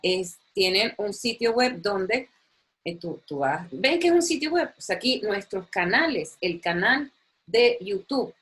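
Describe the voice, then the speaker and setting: A woman's voice: mid-range voice, expressive, measured pace, fairly bright tone. A woman from Chile, giving a recorded university lecture in a chatty, enthusiastic way, repeating words and using many fillers. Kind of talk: recorded online lecture with screen sharing.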